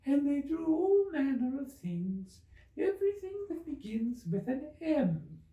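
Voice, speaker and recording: High-pitched, sulky little dormouse. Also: male voice, very animated, measured pace, dark tone, roomy sound, distant mic, highs cut off, exaggerated voice. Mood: drowsy, rambling. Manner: sulky, indignant, drowsy. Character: sleepy, sulky little male dormouse telling a rambling tale.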